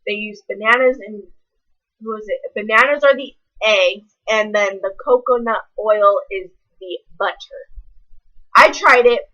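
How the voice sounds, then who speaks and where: A woman's voice: mid-range voice, unhurried, pushed and bright, dull audio. A young woman, ranting casually to camera in a blunt, rambling way full of swearing. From American South. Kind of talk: casual vlog rant.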